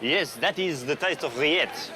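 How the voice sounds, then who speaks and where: A man's voice: fairly high pitch, moderate pace, crowd noise. Chatty man at a market. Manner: chatty, enthusiastic explaining with fillers. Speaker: presenter. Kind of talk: casual on-camera chat.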